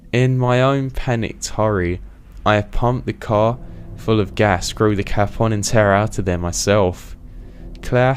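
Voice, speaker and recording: A deep-voiced man tells a scary true story in the first person, his delivery tense and deliberate. A faint hum of ambient noise sits under the voice. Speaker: horror story narrator.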